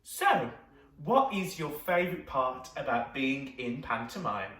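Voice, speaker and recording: A man's voice: mid-range voice, big pitch swings, unhurried, a bit bright, distant mic. A man hosting a show with scripted introductions and questions, recorded in an echoey room away from the mic. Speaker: host, interviewer.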